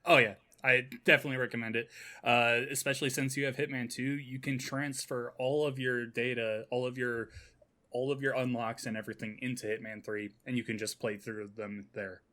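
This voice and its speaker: A man's voice: medium pitch, level tone, a little fast, bright, forceful tone. Regular mid-range guy voice, podcast cohost just chatting games casually. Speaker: podcast co-host. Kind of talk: casual gaming chat.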